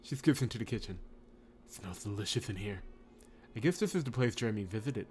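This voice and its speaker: A man's voice: on the low side, expressive, a little fast, a little mellow. A man giving wry, teasing commentary on a game while reading its narration aloud. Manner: wry, teasing, mock-indignant. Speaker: game streamer. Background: game music.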